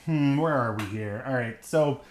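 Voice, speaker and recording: A man co-hosting a podcast, chatting casually about films in a rambling, halting way with drawn-out musing. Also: medium pitch. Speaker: podcast co-host reviewing films. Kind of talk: casual film-review chat.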